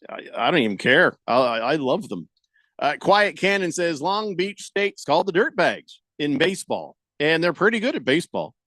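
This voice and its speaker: A man's voice: fairly high pitch, sing-song, moderate pace, a bit bright, no echo, studio quality. A man hosting a sports radio show, joking around and reading out listener comments in a playful way. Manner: jokey, reads listener comments aloud in playful voices. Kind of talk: casual sports radio chat.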